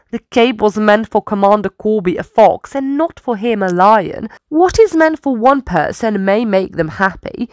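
A woman reading a children's story aloud as a storyteller, with dramatic, deliberate emphasis. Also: fairly high pitch, darkish tone, no echo.

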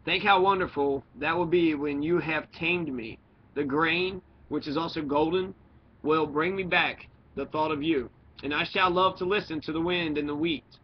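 A man voicing a lonely, philosophical talking fox from a storybook, speaking in earnest, deliberate dialogue. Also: on the high side, fairly even intonation, breathy edge, voice pushed up. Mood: wistful. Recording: dull lo-fi recording with noise.

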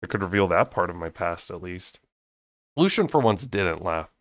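A young man narrating a fantasy story aloud with expressive, slightly unrehearsed delivery. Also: low-pitched, moderate pace, no echo, studio quality. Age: young adult. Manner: unrehearsed, expressive, occasional stumbles. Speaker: amateur audiobook reader. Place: small bedroom, indoors.

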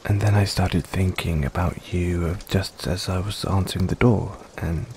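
Middle-aged dad in love , played by a man, for audio roleplay: lowish, mellow voice, affectionate but self-doubting, hesitant and tender. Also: fairly even intonation, normal speed, natural voice. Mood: anxious, hesitant. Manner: hesitant, tender, gently teasing. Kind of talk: intimate audio roleplay acting. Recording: rain-like ambience noise behind.

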